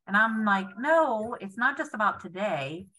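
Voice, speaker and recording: A woman's voice: medium pitch, animated, measured pace, sharp tone, rough recording. A woman in her fifties, a patient foundation president, speaking earnestly on a video call panel from her home, with many fillers and restarts. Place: home room indoors. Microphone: webcam on video call.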